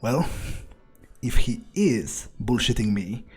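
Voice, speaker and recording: A man voicing a weary, threatening vampire as in-character video game roleplay, dry and deliberate in manner, with a breathy voice. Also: mid-range voice, expressive, faint music behind, full character voice. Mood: scornful brooding. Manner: deliberate, dry, menacing, swears. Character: brooding, threatening male vampire, weary and impatient.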